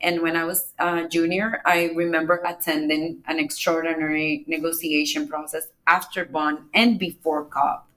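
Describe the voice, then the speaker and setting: Woman guest with a lower voice, unhurried and explanatory. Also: little pitch movement, a bit bright, rough recording. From Dominican Republic. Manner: measured, explains step by step with small restarts. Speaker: climate negotiator, podcast guest. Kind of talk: explanatory interview answers.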